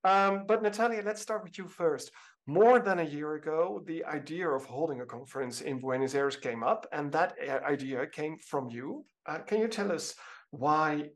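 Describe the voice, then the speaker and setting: A man hosting a podcast interview, steering the conversation briskly with questions. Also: on the high side. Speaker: podcast host.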